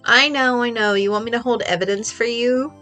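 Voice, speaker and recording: A woman's voice: fairly high pitch, fairly quick, bright, forceful tone, faint music behind. A woman with a stuffy voice voicing a perky, teasing young woman, a kid sister bantering on the phone in a video game read-along. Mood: playful.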